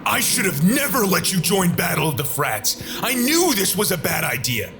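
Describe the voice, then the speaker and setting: A man's voice: high-pitched, big pitch swings, moderate pace, gravelly, exaggerated voice. A man voicing the enraged, bitter ghost of a young frat man in an audio drama. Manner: confrontational, bitter, forceful. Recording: dramatic music behind.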